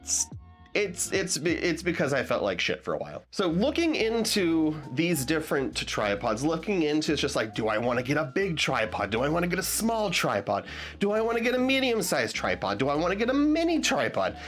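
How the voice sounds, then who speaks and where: A man's voice: a little high, sing-song, fairly quick, fairly bright tone, soft background music. Chatty stuffed-up young man. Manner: rambling, restarts often, asks viewers "you feel me?". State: stuffed up after being sick. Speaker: tech vlogger. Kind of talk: casual rambling product talk.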